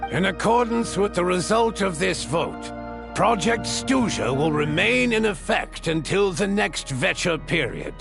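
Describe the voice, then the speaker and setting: A man's voice: high voice, expressive, unhurried, creaky, music in the background, heavily acted. An actor playing a formal, ceremonious chairman of a political assembly in a video game. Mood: solemn, ceremonious. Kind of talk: scripted video game acting, formal proceedings.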